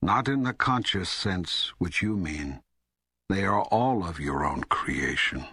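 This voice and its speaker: An older man voicing God as a wise, patient teacher in an audiobook, raspy, measured and authoritative, with emphatic pauses. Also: sits low, expressive, bright, forceful tone, no room sound, studio quality, exaggerated voice. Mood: solemn, instructive. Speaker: audiobook co-narrator. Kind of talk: scripted narration, spiritual dialogue.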